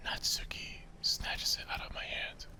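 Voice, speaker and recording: A man reads visual novel narration as ASMR. He keeps to a breathy whisper and takes his time, with a crisp, bright edge to the sound. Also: measured pace.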